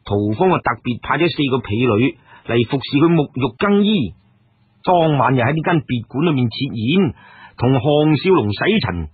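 A Cantonese man narrates an audiobook with lots of drama. His voice is very husky and swings widely in pitch. The recording is narrow and radio-like. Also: no echo. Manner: expressive, with drawn-out phrases and colloquial interjections. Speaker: storyteller. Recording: narrow, radio-like band, dry.

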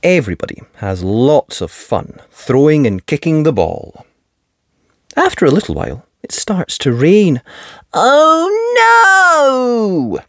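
Very lively woman reading a children's story. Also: rumbling low, a little slow, no room sound, clean studio sound. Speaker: storyteller, channel host. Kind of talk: expressive children's read-aloud narration.

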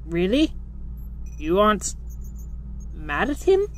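Someone playing a timid, slow-spoken young skeleton. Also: medium pitch, wildly swooping pitch, poor audio. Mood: fearful pleading. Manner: hesitant, fearful. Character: timid, pleading young male skeleton brother. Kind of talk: dramatic comic dub voice acting. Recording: faint background noise.

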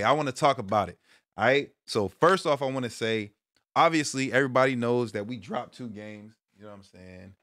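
A man in his thirties from Brooklyn, hosting a casual sports talk stream from a small room at home, switching between loud hype and low muttered asides. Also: dry recording, studio quality. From Brooklyn, New York. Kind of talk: casual monologue to viewers.

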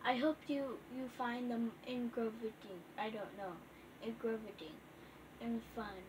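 Young girl rambling through gameplay, slightly high voice, hissy home recording. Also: fairly even intonation. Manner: chatty, reads game letters aloud haltingly. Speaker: gaming vlogger. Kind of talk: casual gameplay chat. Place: dim bedroom in front of a TV.

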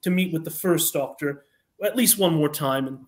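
A man with a high voice gives interview answers as a guest writer on an online call. He is earnest and tells things as a story, often halting and restarting his phrases. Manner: earnest, halting, self-correcting.

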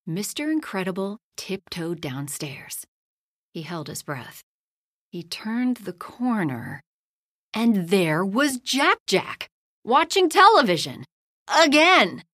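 Woman narrator for a kids' storybook read-along: unhurried, very expressive, dry close sound. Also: mid-range voice, no room sound. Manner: slow, lively storytelling, hushed at bedtime lines and loud in action. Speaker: storybook reader. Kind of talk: read-aloud children's bedtime story.

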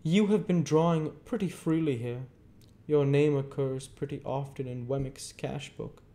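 A stern middle-aged lawyer, as a male reader puts him on, pressing every question like a cross-examination. His voice rides well above the reader's own, loud and insistent, with a mellow darker tone underneath. Clipped and emphatic, a storybook figure who always knows better. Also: medium pitch, a little slow, pitched above the performer's own voice, lightly acted. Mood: lecturing.

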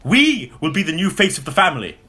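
A man playing a husky, excitable brother. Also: fairly high pitch, brisk, heavily acted. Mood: grandiose. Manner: dithering, excitable. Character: inept, pompous, eager upper-class brother running antique shop. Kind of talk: comedy radio play acting.

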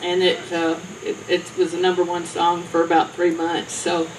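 A woman, talking about her songwriting career on an outdoor festival stage, chatty and quick to share. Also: fairly low pitch, monotone. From Alabama. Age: 50s. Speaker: songwriter contestant. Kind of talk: onstage interview. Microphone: handheld mic. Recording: distant mic with faint outdoor noise.

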